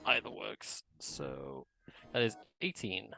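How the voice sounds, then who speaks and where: A man playing a tabletop role-playing game, improvising casually in a hesitant, halting way. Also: lowish voice, big pitch swings, vocal fry, sharp tone, poor audio. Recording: music playing under the voice. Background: background music.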